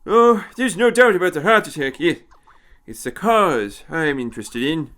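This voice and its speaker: A man voicing an elderly, eccentric time traveller, haughty and impish, with a high, tremulous, querulous voice and a fussy manner. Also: animated, moderate pace, fairly bright tone. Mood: assured. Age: older. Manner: haughty, fussy, hesitant turns of phrase.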